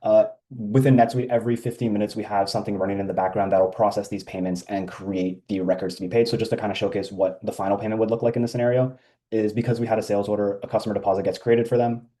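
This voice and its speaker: Guy with a deep warm voice doing a laid back software walkthrough, lots of uhs. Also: little pitch movement, quick pace. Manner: casual, many ums and uhs. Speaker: software demo presenter. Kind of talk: screen-share software walkthrough.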